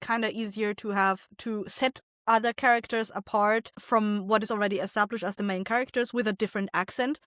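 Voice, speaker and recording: A woman's voice: medium pitch, fairly even intonation, brisk, few pauses, a bit bright, dry recording, clean studio sound. A woman talking casually as a guest in an interview about her creative work. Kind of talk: casual chat.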